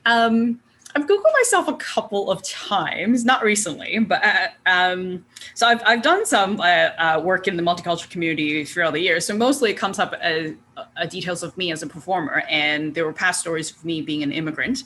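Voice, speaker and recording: A woman whose first language is Mandarin, answering an interview question in a friendly, halting way with fillers. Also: fairly low pitch, a bit bright, slight background noise. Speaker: guest author and performer.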